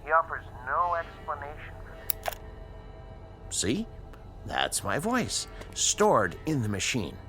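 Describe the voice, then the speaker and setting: An actor playing an educated, uneasy scientist in a radio drama, with precise, formal diction and dramatic pauses. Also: male voice, a little high, huge pitch swings, bright, forceful tone, faint music behind, full character voice. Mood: explanatory. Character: educated, uneasy male scientist, inventor of a time machine. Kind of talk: radio drama acting, dialogue and dictated log narration.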